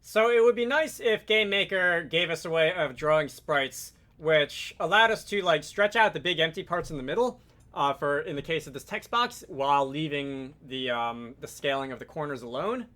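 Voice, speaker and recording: A man narrates a hands-on programming tutorial in a fairly high, bright voice. He speaks off the cuff, hesitating and rewording as he works through each step. It has the feel of a screen-capture voice-over. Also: normal speed. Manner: hesitant, self-correcting, thinking aloud while working. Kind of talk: unscripted screen-recorded software tutorial narration.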